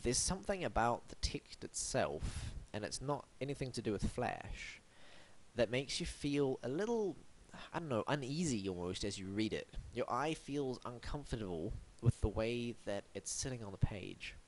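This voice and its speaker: A man's voice: mid-range voice, sing-song, normal speed, slight background noise, poor audio. A man hosting a web design review, blocked up and sniffly from hay fever, with a wry, mock-formal, theatrical delivery. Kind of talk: scripted web review monologue.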